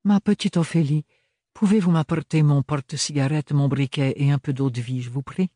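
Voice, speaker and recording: A man's voice: a little high, steady tone, normal speed, dark tone, no room sound, clean studio sound, natural voice. A man voicing Bérénilde, a weary, silky noblewoman. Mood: languid. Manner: tired, honeyed, mocking. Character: weary elegant noblewoman, sly and languid.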